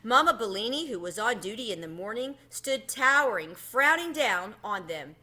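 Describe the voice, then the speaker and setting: A woman reading a children's story aloud in an expressive narrating style. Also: fairly high pitch, moderate pace. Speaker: storybook reader.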